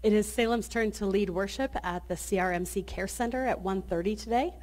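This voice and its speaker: A woman's voice: medium pitch, a little fast, darkish tone. Woman pastor in her 30s doing church announcements, friendly and inviting, lectern mic. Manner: friendly, inviting, with many restarts. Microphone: lectern microphone.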